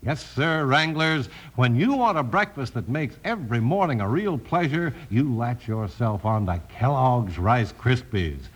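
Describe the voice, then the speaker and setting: Animated male old-time radio announcer. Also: mid-range voice, unhurried, hardly any pauses. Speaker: announcer and narrator. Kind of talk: 1950s radio announcing for children, scripted.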